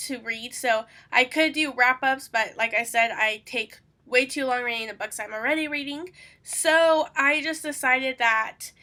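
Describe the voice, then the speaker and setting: Young woman host, congested and sniffly, bright higher voice, rambling casual chat. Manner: rambling, chatty, many fillers. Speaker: booktuber host.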